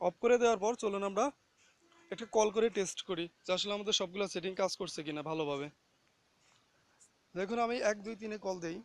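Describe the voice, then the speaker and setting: A man's voice: sits high, fast talker, pauses often. A man hosting a casual, step-by-step phone app tutorial, instructing listeners and often checking that they follow. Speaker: tutorial host.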